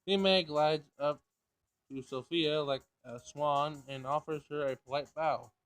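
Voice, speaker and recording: Higher-voiced man reading game narration aloud. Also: fairly even intonation, measured pace, fairly bright tone, smooth. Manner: stumbles over written words and rereads. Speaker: gamer reading a visual novel aloud.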